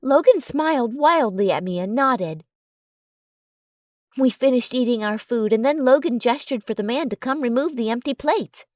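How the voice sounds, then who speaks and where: A woman's voice: on the high side, expressive, normal speed, no echo, clean studio sound. A woman reading scripted first-person fiction as an audiobook narrator.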